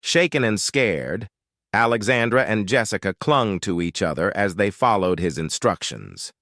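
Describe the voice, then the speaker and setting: Low, expressive male mystery narrator. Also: moderate pace, no room sound, clean studio sound. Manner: suspenseful, expressive storytelling. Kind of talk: dramatic scripted narration.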